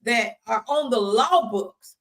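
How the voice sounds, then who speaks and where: A woman's voice: on the high side, animated, takes it slowly, fairly bright tone. An American woman hosting a conversational faith interview, speaking in an emphatic, affirming, folksy way. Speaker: talk show host.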